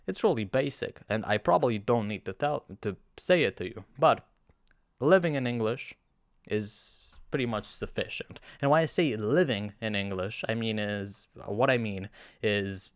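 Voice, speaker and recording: A man's voice: lowish voice, normal speed, no echo, studio quality. A young man whose first language is Romanian, talking casually to his viewers in a chatty, self-mocking way, often restarting his sentences. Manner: chatty, self-deprecating, with frequent restarts and fillers. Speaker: YouTuber. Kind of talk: casual talking-to-camera vlog.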